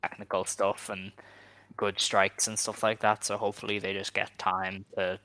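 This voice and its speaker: Low-voiced man, laid-back podcast host. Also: normal speed, no echo. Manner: relaxed, hesitant, many ums. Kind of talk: casual chat.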